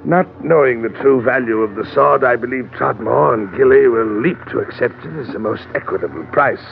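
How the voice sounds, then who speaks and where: Man acting a florid, creaky fat treasure hunter. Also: medium pitch, a little fast, close to an everyday voice. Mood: pleased, conspiratorial. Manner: florid, pompous, hesitant oily phrasing. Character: fat, flowery, eager gentleman treasure hunter. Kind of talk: old-time radio drama acting. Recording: noisy, tinny old radio recording.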